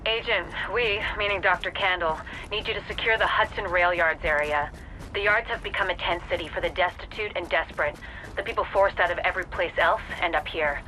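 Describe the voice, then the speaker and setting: A woman playing a steady, encouraging field agent who gives earnest, businesslike mission briefings in a video game. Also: lowish voice, a little hiss or hum, low-quality recording. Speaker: mission coordinator.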